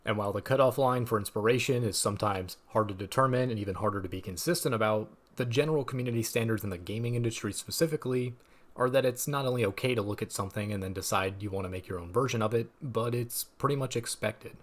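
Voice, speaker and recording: A young man reading a scripted video essay in a measured, explanatory way with dry asides. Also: fairly low pitch.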